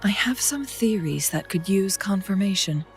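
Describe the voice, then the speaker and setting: An actress playing a calm, perceptive female strategist in a video game, speaking in a measured, formal, articulate way. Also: medium pitch, natural voice. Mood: composed, serious. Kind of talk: scripted video game dialogue. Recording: music behind the voice.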